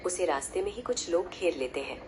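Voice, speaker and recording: A woman's voice: lowish voice, animated, brisk, a little mellow, slight background noise. A woman narrator reading a scripted cartoon story.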